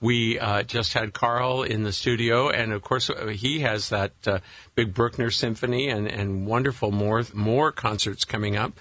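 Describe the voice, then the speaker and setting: A male radio host chats warmly with a guest, eager and quick to laugh. His voice is bright and a little husky. The studio sound is close and dry. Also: on the low side, steady tone, no room sound. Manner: enthusiastic, chatty, laughs readily. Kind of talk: casual radio interview.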